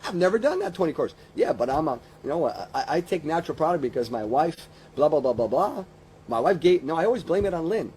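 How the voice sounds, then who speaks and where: A man's voice: a little high, very animated, fast talker, darkish tone, a little hiss or hum. A man in his fifties giving an informal motivational business coaching talk, rambling conversationally and repeating phrases for emphasis. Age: 50s.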